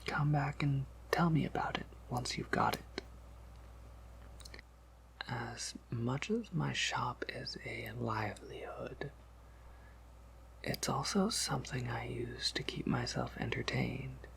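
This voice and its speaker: A man voicing a courteous, softly amused vampire apothecary shopkeeper in a whispered ASMR roleplay, with formal, gentle phrasing. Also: mid-range voice, a little hiss or hum, full character voice. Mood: politely coaxing. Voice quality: breathy whisper with gravelly creak. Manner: polite, gentle, formal, unhurried.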